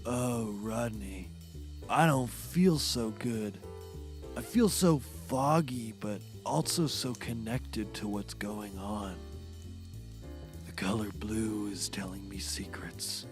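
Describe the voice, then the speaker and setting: A man's voice: on the low side, slow pace, soft background music. A man playing a foggy, drugged, mystical fellow in a scripted audio comedy, hesitant and rambling in a dreamy way. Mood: foggy, awed.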